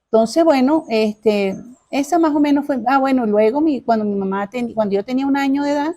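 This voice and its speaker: A woman's voice: high-pitched, brisk, warm tone. A middle-aged woman with a Caracas Venezuelan accent, telling her life story informally as an interview guest, chatty and courteous, often repeating her phrases.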